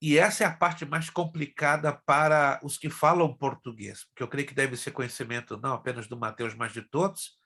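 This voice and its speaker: Gravelly husky pastor teaching a class. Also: male voice, mid-range voice, steady tone, brisk, sharp tone. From Brazil. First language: Hebrew and Spanish. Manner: chatty, addresses class directly. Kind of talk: online class lecture.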